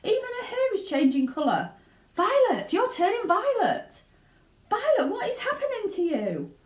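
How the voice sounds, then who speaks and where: A very high, shrieking mother from a storybook, put on by a woman reader. She is overbearing and panicky, with wild swoops of pitch. The sound is roomy and echoing. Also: big pitch swings, moderate pace. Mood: shrill panic. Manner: shrieking, dramatic exclamations. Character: shrill, panicking, overbearing mother of a young girl.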